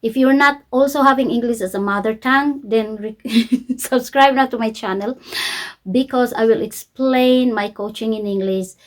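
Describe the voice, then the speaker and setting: A woman from the Philippines with a Filipino accent, coaching viewers informally in simple, deliberate second-language English and repeating key points with emphasis. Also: a little high. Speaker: YouTube coach.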